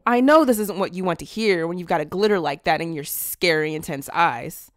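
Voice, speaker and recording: A woman's voice: on the low side, fairly quick, no echo, studio quality, natural voice. A woman playing a brash, proud, casually blunt woman in an anime fan drama, with a teasing manner.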